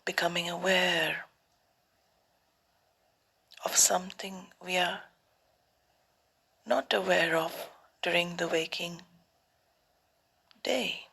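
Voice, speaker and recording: A woman leading a guided meditation as a teacher, speaking softly and often near a whisper, in calm, deliberate short phrases with long pauses. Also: on the low side, vocal fry, slightly rough.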